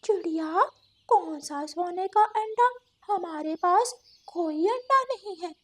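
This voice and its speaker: A woman's voice: very high-pitched, slow pace, fairly bright tone, pitched above the performer's own voice, heavily acted. A woman voicing a sly, vengeful female fox in a children's cartoon, coaxing and theatrical. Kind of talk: Hindi children's cartoon story acting.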